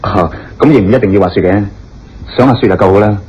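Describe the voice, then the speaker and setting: A man's voice: fairly low pitch, fast, darkish tone, breathy edge. A man with a Hong Kong Cantonese accent playing a genial, courteous holiday skier in a radio drama. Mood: genial, chatty. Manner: courteous, expansive, conversational. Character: genial, chatty gentleman on a skiing holiday. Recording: dull radio recording with faint music.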